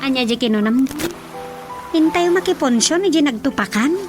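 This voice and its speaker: A woman's voice: high voice, lively intonation, moderate pace, over music. A woman playing a councilman's homely wife in an Ilocano radio drama comedy, talking in a conversational, questioning way.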